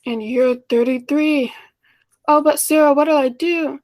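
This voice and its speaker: A woman's voice: high voice, fairly even intonation, unhurried, a little mellow, dry recording. A woman acting an anxious, romantic schoolteacher in her mid-thirties in a 1940s style radio play, earnest and hesitant. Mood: flustered, anxious.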